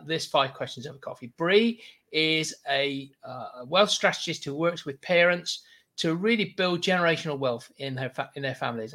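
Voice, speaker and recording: Male interviewer, slightly hoarse, friendly, slightly higher-pitched, stop-start questions. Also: sharp tone. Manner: friendly, restarts questions often. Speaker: podcast host. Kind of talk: casual interview.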